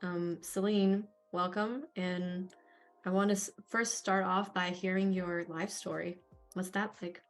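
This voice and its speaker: A woman's voice: fairly low pitch, fairly even intonation, a little slow, soft background music. A woman interviewing a colleague, asking questions in an encouraging, affirming way. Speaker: head of coaching, interviewer.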